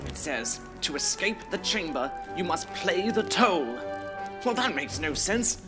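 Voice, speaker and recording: A man playing a plucky, excitable adventurer with theatrical exclamations in a children's audio adventure. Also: a little high, measured pace, over loud music. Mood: puzzling. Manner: theatrical, exclamatory, talks to himself.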